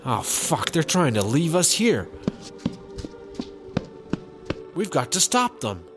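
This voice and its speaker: A carefree, slightly dim space cop in a comedy audio drama. His voice is mid-pitched and lively, casual but prone to melodrama, over clear background music. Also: a little slow. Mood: alarmed. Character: breezy, dim male space cop buddy. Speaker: voice actor.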